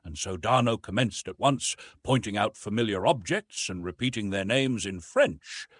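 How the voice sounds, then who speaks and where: A man's voice: medium pitch, fairly bright tone, slightly rough, no room sound. A man reading an adventure novel aloud as a scripted audiobook narration.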